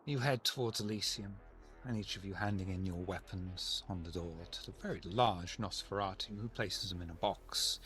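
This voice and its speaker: A man narrating as game master of a tabletop game, joking and expressive. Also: deep voice, moderate pace, few pauses, faint music behind.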